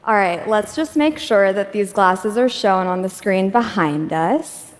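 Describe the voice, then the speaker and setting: A woman's voice: mid-range voice, expressive, measured pace. A woman runs a live demo on stage, upbeat and chatty, with a few filler words. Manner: upbeat, chatty, laughs often, some fillers. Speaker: demo presenter. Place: stage of a large auditorium. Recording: echoey hall reverb.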